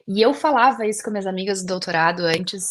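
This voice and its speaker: A woman's voice: mid-range voice, quick pace, a bit bright. A woman, a guest dentist talking earnestly in an interview, often using fillers. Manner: earnest, many fillers like "assim". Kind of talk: casual interview chat.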